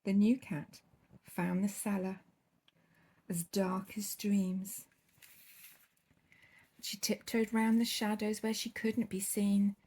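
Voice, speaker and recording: A woman's voice: medium pitch, level tone, takes it slowly, pauses often, dark tone, slightly rough. A woman reading a children's bedtime story expressively, drawing out key words with theatrical emphasis.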